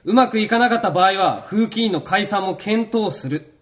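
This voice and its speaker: A man in an amateur radio drama, explaining a situation in a measured way. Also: high-pitched, fairly bright tone, distant mic.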